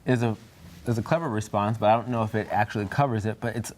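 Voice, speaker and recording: A man's voice: on the low side, fairly even intonation, fast talker, darkish tone, slight background noise. A young man in a Bible study group, talking in an earnest, reflective way and sometimes searching for words. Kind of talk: discussion group chat.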